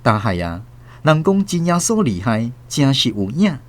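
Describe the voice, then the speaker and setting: A man's voice: medium pitch, sing-song, moderate pace. A man with a Taiwanese accent narrating a radio drama as a scripted, dramatic storyteller.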